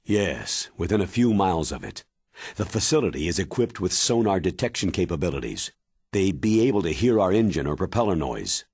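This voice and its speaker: An actor playing a stern military commander briefing an agent in a video game, grave and measured in manner. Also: male voice, low-pitched, very animated, darkish tone, no echo, clean studio sound, exaggerated voice. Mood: instructive. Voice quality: husky, weighty. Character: stern, authoritative older military commander giving mission briefing. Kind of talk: dramatic video game cutscene acting.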